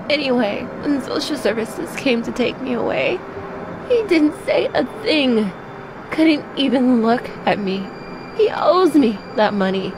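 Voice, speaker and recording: A tough, wounded young woman with a streetwise, defiant way of talking. Her voice sits a little high, with clear ambient noise behind it. It is played as cinematic audio drama. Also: heavily acted. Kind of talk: film-style audio drama acting.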